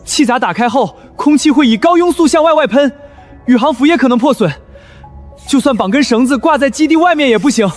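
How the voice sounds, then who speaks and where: A very high-pitched, anxious young survivor. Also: male voice, expressive, a little fast. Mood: worried. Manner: urgent, questioning, easily alarmed. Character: anxious, analytical young male exam survivor. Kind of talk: Chinese motion-comic drama acting. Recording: background music behind the voice.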